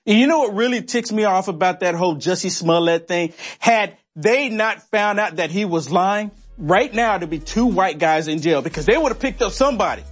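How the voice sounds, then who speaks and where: A man hosting a political talk show in a very high voice, pressing his points with one rhetorical question after another. Faint music plays underneath him. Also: lively intonation, breathy edge. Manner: emphatic, rapid rhetorical questions.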